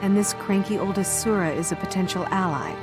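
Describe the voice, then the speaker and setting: A woman's voice: medium pitch, brisk, music in the background, natural voice. A woman playing a cool, shrewd adventurer in a fantasy video game, with a wry, needling manner. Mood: wry, skeptical. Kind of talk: video game cutscene acting.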